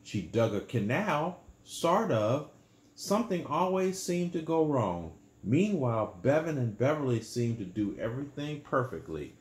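A man in his sixties reading a children's bedtime story aloud in a small room, pausing to explain things simply and patiently. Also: medium pitch, very animated, roomy sound.

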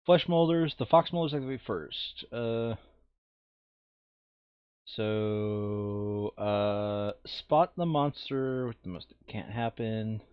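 A man's voice: lowish voice, slow pace, smooth, no echo, dull audio. A man playing a board game, talking casually as he reads out rules and tallies. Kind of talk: casual tabletop game chat.

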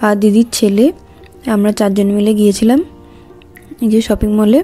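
A woman's voice: mid-range voice, little pitch movement, moderate pace, mellow, faint music behind. A woman vlogger, giving a casual voice-over narration in short phrases.

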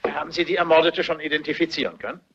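An actor playing a self-assured, guarded family doctor in a German radio play, speaking formally with a husky voice. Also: male voice, a little high, steady tone, racing, fairly bright tone, a little hiss or hum. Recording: dull, muffled radio play recording.

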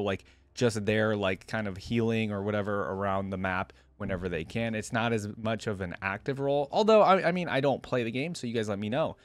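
A man's voice: mid-range voice, dry recording. A young adult man giving casual, conversational reaction commentary as a video host, musing as he goes. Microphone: close desk microphone. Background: faint music from the watched video.

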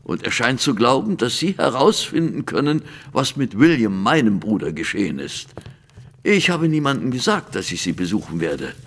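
A man's voice: medium pitch, very animated, normal speed, fairly bright tone. An actor playing a grave, proper, practical businessman past middle age in a German audio drama, speaking formally and earnestly. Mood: grave, worried. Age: middle-aged.

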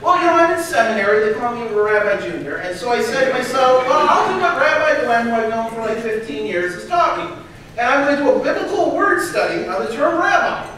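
A man's voice: extremely high pitch, lively intonation, quick pace, pushed and bright. Young man roasting in an echoey banquet hall. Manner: self-mocking joke delivery. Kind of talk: stand-up roast routine. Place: large banquet hall, standing before seated crowd. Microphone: far room camera. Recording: echoey hall from far off, crowd.

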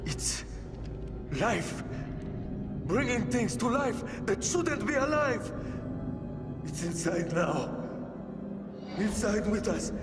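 A man's voice: high voice, very animated, slow pace, long pauses, heavily acted. An actor playing a paranoid armed man who is terrified of a mist and rants with a breaking voice, in a video game cutscene. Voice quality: frantic, ranting, voice breaking. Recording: game audio with reverb and music.

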